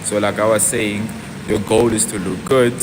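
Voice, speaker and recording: A man's voice: fairly low pitch, steady tone, normal speed, background noise. A young man on a casual fitness livestream, chatting to viewers and answering their comments aloud as he talks. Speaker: fitness vlogger.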